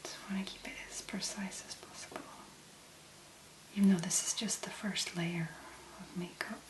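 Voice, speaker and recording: A woman speaking very softly and breathily, in a gentle, unhurried ASMR make-up tutorial style. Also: fairly low pitch, steady tone, echoey room. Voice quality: breathy, whispery. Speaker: ASMR video creator.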